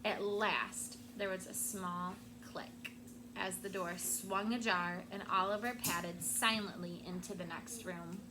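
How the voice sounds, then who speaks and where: A woman's voice: medium pitch, takes it slowly, background noise. Woman teacher reading a story aloud. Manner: expressive storytelling, stops to explain words. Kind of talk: reading a picture book aloud to a class. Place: classroom.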